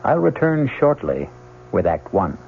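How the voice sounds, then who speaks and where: A man hosting an old-time radio mystery with theatrical, ominous, measured scripted narration. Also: deep voice, big pitch swings, gravelly. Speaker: radio drama host. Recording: tinny vintage radio broadcast, music, hum.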